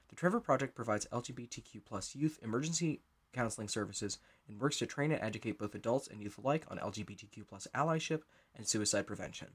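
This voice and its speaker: A man's voice: lowish voice, breathy edge. A man giving a scripted introduction as the writer and director of an audio drama.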